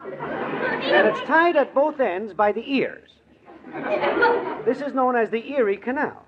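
An actor playing a breezy, shiftless, slangy city boyfriend in a 1940s radio comedy. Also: male voice, extremely high pitch, expressive, slow, over a noisy crowd, full character voice. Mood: cocksure, coaxing. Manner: slangy, confidently ignorant. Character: breezy, shiftless city wise-guy boyfriend, cheerfully ignorant. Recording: muffled noisy old radio, audience.

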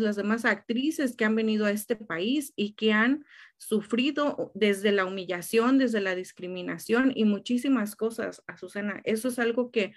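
A woman in a casual conversation, speaking emphatically and restarting her phrases often. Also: on the high side.

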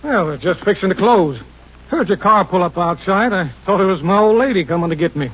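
An actor playing a gruff, folksy old storekeeper in a 1950s radio drama. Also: male voice, high voice, animated, brisk. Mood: folksy, wry. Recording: muffled old radio recording.

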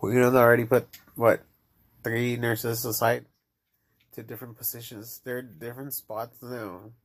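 A man's voice: mid-range voice, little pitch movement, normal speed. A man with a Mexican accent, chatting casually on his show and rambling to listeners. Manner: rambling, addresses listeners directly. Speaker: podcast host. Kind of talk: casual chat.

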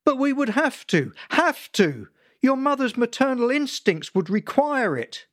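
A man playing an angry, lecturing father who talks slowly and emphatically. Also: very high-pitched, very animated, dry recording, clean studio sound, voice pushed up, heavily acted. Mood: stern lecturing. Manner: emphatic, slow and pompous.

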